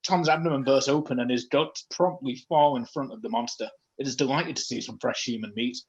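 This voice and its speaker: A man's voice: mid-range voice, brisk, dull audio. A man reading fan fiction aloud as comedy, breaking off for joking asides. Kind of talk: comedic read-aloud of a story.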